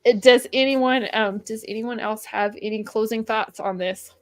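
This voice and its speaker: A woman's voice: on the high side, fairly even intonation, normal speed, no echo. A woman hosting an online book club, talking in a chatty, upbeat way with frequent "um"s, at home with a cat nearby. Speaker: host of a book club stream. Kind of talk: casual online book discussion.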